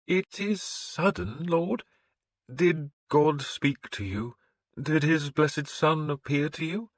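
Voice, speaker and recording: A man voicing a fussy, faint-hearted village priest who speaks slowly and hesitantly in a raised, husky voice. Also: on the high side, no echo, voice pushed up. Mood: wary. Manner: slow, hesitant, lifted and pleading.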